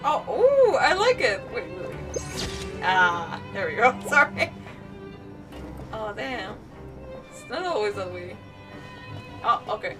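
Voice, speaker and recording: A woman's voice: mid-range voice, big pitch swings, takes it slowly, pauses often, recorded from a distance. A woman livestreaming a video game with casual, playful commentary, often muttering to herself and breaking off mid-thought. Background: game music.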